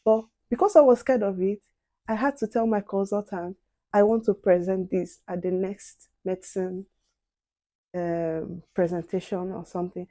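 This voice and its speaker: A soft-spoken young woman chatting casually to viewers while doing her makeup. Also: mid-range voice, steady tone, moderate pace, dry recording. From outside the UK. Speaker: beauty and advice vlogger.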